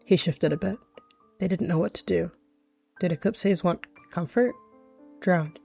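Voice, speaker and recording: A man's voice: high voice, unhurried, creaky, warm tone, husky, dry recording, soft background music. A man giving dramatic third-person narration as a player in a story roleplay.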